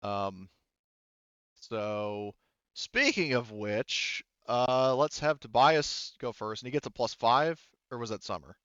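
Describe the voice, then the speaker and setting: Clear-voiced man running a tabletop game. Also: mid-range voice, animated, takes it slowly, fairly bright tone, dry recording, muffled recording. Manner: informal, thinking aloud. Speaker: game master. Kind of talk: casual tabletop game narration with dice rulings.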